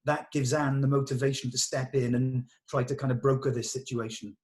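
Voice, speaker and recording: A man's voice: medium pitch, flat delivery, a little fast. A man talking casually through a script with actors, explaining and giving directions as he leads the discussion. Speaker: director leading a script table read.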